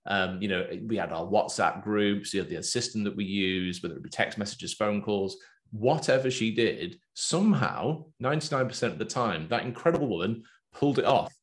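English-accented male host, low voice, animated and talkative. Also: moderate pace. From England. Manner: animated, talkative, loose with fillers. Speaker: podcast host and business coach. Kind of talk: casual business chat.

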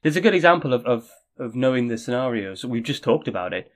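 A man co-hosting a casual podcast chat, friendly and a little halting, often restarting his sentences. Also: mid-range voice, expressive. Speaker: podcast co-host.

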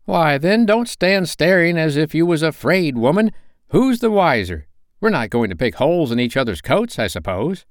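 A man's voice: fairly high pitch, very animated, normal speed, dry recording, clean studio sound, pitched above the performer's own voice. A man voicing a bold, defiant Victorian charwoman with a brash, scornful way of talking.

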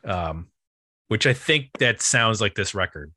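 A man's voice: lowish voice, expressive, measured pace, bright, forceful tone, dry recording, studio quality. A man hosting a podcast, talking casually about music in a rambling, enthusiastic way. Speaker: podcast host.

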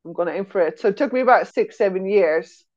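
A woman's voice: medium pitch, quick pace, darkish tone. Chatty European woman, slightly dull audio. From Netherlands and Switzerland. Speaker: zookeeper, podcast guest. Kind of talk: casual anecdotal interview talk.

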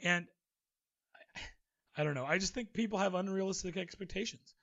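A man's voice: sits high, brisk, vocal fry, fairly bright tone, lo-fi sound. A man co-hosting a casual sports talk show, reading the news and then riffing with his own opinions. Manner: chatty, reads news then riffs with opinions. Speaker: podcast co-host.

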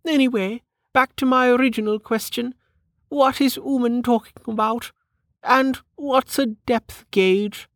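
A woman's voice: fairly high pitch, steady tone, unhurried, no echo, clean studio sound, pitched above the performer's own voice. A woman voicing a prim, snobbish noblewoman in an audiobook, with a testy, proper manner. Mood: haughty, defensive. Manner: haughty, sniffing, proper. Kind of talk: audiobook character acting.

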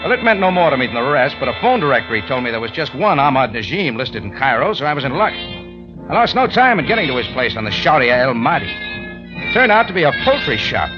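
An actor playing a tough, brisk American cafe owner who narrates his own adventure in a hardboiled radio drama, curt and slangy. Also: medium pitch, sing-song, natural voice. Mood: tense narration. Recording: muffled old radio recording, music behind.

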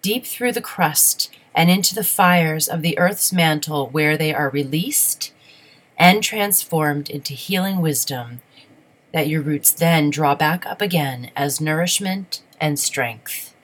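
A woman leading a guided meditation in a soothing, measured, instructional way. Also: low voice.

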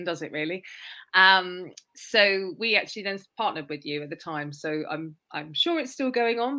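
A woman hosting a podcast, chatting casually and warmly with her guest, with lots of fillers and a self-deprecating manner. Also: on the low side. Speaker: podcast host. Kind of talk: casual interview chat.

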